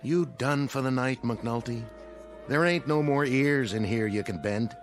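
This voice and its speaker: A man's voice: mid-range voice, unhurried, soft background music, lightly acted. An actor in a radio drama playing a blunt, wisecracking big-city bartender, a man who sounds sarcastic and fed up. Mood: scornful.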